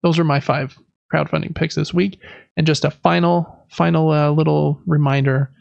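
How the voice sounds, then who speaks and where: Gravelly, higher-pitched man hosting a podcast. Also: flat delivery, normal speed, dry recording, lo-fi sound. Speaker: podcast host. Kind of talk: casual board game podcast chat.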